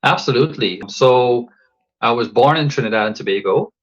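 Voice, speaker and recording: Thoughtful man from Guyana, lo-fi sound. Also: medium pitch. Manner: thoughtful, measured, with frequent fillers and restarts. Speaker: podcast guest, coach. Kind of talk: reflective interview talk.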